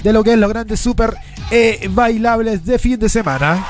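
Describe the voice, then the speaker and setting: High-voiced Chilean radio DJ with a stuffy nose. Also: male voice, moderate pace, loud music behind the voice. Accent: Chilean Spanish. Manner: chatty, sing-song, upbeat, with frequent tag questions. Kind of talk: live radio show patter.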